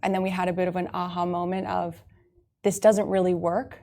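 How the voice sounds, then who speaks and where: A woman's voice: on the low side, level tone, fast, warm tone. An American woman in her thirties, a salon founder answering interview questions in upbeat, run-on explanations. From United States.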